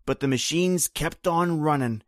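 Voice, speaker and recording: An actor playing a bitter, grim island native who tells an old horror tale in brooding, rhythmic, folksy phrases, in old-time radio drama style. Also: male voice, on the high side, measured pace, dry recording, studio quality, lightly acted.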